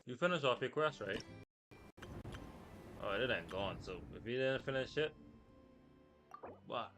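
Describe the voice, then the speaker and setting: A man's voice: mid-range voice, lively intonation, measured pace, a bit bright. Male streamer, casual rambling slang, faint music behind. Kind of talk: casual live game commentary.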